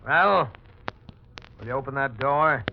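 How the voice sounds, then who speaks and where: An actor playing a hard, sneering police lieutenant who needles a murder suspect, in 1940s radio mystery style. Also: male voice, mid-range voice, animated, slow, vocal fry. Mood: scornful. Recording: muffled, noisy 1940s radio recording.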